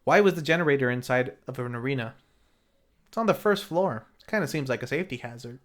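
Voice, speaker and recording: A man's voice: medium pitch, animated, fast. A man hosting a film review series, reading a scripted recap with playful, self-mocking commentary. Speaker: host and narrator of a retro lucha libre film review series. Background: music.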